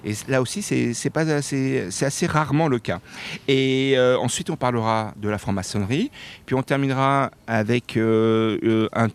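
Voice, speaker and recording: A man hosting an interview, asking questions in a halting way with frequent fillers. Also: medium pitch, smooth. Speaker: interviewer, show host. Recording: faint background noise.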